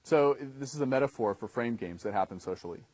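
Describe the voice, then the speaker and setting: A man's voice: medium pitch, animated, fast talker, darkish tone, dry recording. A man in his thirties teaching a class in a conversational way, often checking in with his listeners as he explains. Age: 30s. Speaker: dating coach instructor. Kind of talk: instructional talk to a class.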